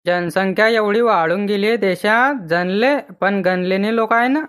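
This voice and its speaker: A man's voice: high-pitched, moderate pace, a bit bright. A grumbling village husband out of a comedy cartoon, acted by a man. He speaks colloquially in a rural Maharashtrian accent, always ready to argue. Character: grumbling, argumentative village husband with a drinking habit. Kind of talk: comic cartoon dialogue.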